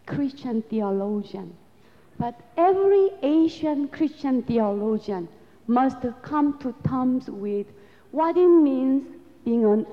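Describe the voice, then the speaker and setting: A Korean-accented woman whose voice sits a little high and moves slowly and deliberately. She lectures in earnest, reflective, non-native English. The sound is dull and carries a long hall reverb. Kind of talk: lecture to an audience.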